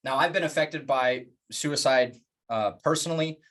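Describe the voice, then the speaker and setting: A man presenting plans in a public address, speaking earnestly in deliberate phrases with emphatic pauses. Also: mid-range voice, a bit bright. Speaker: organization leader presenting plans.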